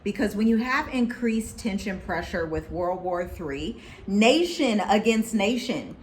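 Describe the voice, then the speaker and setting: An American woman co-hosting a casual chat, talking in a lively, preachy way with scripture references. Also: mid-range voice, measured pace, a little hiss or hum. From United States. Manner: animated, preachy, quotes people in put-on voices. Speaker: co-host.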